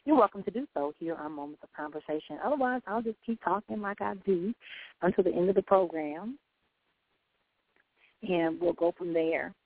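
Gravelly-voiced woman hosting call-in radio. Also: fairly low pitch, normal speed, dry recording. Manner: encouraging, uplifting, preachy. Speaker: host. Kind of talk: call-in talk radio, motivational. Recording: dry, tinny phone-like line.

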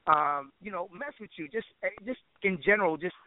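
A man's voice: sits high, fairly quick, dry recording, phone-quality sound. A man chatting casually as an interview guest, with informal, slangy phrasing and frequent "you know" asides. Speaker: interview guest, musician and producer.

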